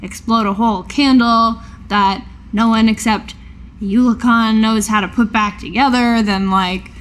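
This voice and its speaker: A woman talking as a player in a tabletop game, hesitant and deliberate, partly in character. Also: on the high side, steady tone, fairly bright tone. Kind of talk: in-character casual game discussion.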